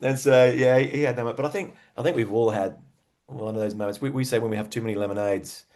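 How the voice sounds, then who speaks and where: A man's voice: mid-range voice, steady tone, fast talker, a little mellow. A man with an Australian accent chatting casually as an interview guest, telling stories in a laid-back, slangy way with enthusiasm for music. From Western Australia.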